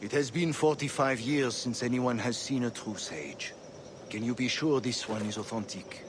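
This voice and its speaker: An actor playing a stern, distrustful Templar gentleman in a video game cutscene, speaking deliberately. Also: medium pitch, background noise, close to an everyday voice. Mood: doubtful. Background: loud music.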